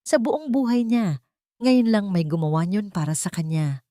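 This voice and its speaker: A woman's voice: mid-range voice, expressive, moderate pace, dark tone, no echo, studio quality. A woman narrating a scripted romance story as a dramatic storyteller.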